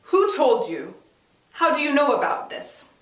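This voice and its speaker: A woman's voice: sits high, sing-song, a little slow, fairly bright tone, pitched above the performer's own voice, exaggerated voice. Echoey, hostile haughty uncle acted by a woman. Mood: suspicious, snappish. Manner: snappish, aggressive, dismissive. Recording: echoey room, distant mic.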